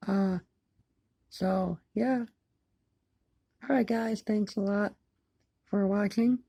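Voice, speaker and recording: Husky creaky lady doing a casual hobby video, kinda halting, repeats herself, plain talk. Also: mid-range voice, fairly even intonation, dark tone, no room sound. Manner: halting, repetitive, plain-spoken. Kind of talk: casual chat to camera. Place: indoors in an apartment, by a window.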